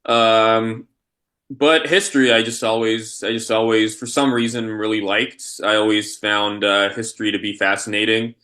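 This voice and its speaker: A man with a somewhat low voice and a bright, sharp edge to it. He was born in Poland and grew up in the United States. He talks as an interview guest, choosing his words deliberately and often starting a phrase over. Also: level tone. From Polish-born, raised in the United States. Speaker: interview guest, history scholar and university teacher. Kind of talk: conversational interview answers.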